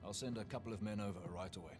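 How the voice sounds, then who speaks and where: A man's voice: lowish voice, very fast, music in the background, close to an everyday voice. An actor playing a dutiful mercenary underling reporting to his boss. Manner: deferential, reporting. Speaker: mercenary digger.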